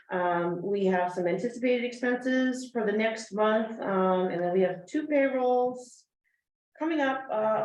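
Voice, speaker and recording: A woman's voice: mid-range voice, highs cut off. Woman reporting finances in an echoey room. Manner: halting, many fillers. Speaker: finance officer giving report. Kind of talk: meeting report. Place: meeting room. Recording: echoey room, far from mic.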